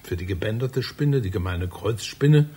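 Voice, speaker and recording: An actor playing an elderly, obsessive librarian and spider researcher in a satirical radio play, lecturing pedantically and reproachfully in a raspy voice. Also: male voice, fairly low pitch, animated, normal speed, warm tone. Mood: pedantic, proud. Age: older. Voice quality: husky, raspy.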